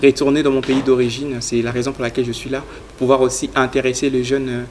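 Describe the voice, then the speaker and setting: Earnest young man, flat even delivery. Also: medium pitch, fast, a little hiss or hum. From Congo. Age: young adult. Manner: earnest, speaks in a flat even tone with frequent fillers. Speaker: guest, youth leader. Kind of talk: studio interview answers.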